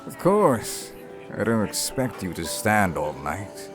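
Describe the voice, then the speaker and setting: A man acting in an audio roleplay as a stern, condescending father, formal, deliberate and snide. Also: fairly low pitch, very animated, over music. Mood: cool, curt.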